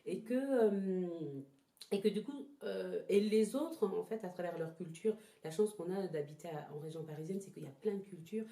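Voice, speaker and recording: Very mellow, lowish woman's voice in echoey room. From born in Togo, lived in Ghana, moved to France as a child. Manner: hesitant, halting, repeats words as she searches for phrasing. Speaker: interview guest, traditional therapy practitioner. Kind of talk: reflective personal interview answers. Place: indoors in a house. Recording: roomy, echoing indoor sound.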